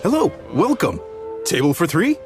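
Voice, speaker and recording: A man's voice: fairly high pitch, huge pitch swings, normal speed, music in the background. A man voicing a jovial, proud and welcoming restaurant chef in an anime-style video game. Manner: hearty, theatrical.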